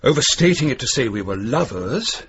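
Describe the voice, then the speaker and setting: An actor with an English accent playing a fussy, hesitant and pompous university professor in a radio comedy drama, with a husky voice. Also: male voice, medium pitch, sing-song, moderate pace, a bit bright, exaggerated voice. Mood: flustered, guarded. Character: fussy, dithery, donnish Oxford professor.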